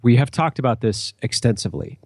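A man's voice: medium pitch, animated, fairly quick, darkish tone, breathy edge, dry recording, studio quality. A man speaking as a podcast guest in casual conversation, explaining things in an earnest way.

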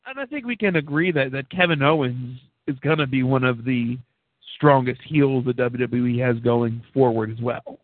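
A man's voice: medium pitch, normal speed, dry recording. Man co-hosting on a thin, tinny line. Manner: agreeable, chimes in with short replies. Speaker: radio show co-host. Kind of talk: casual wrestling chat. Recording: tinny phone-like sound, dry.

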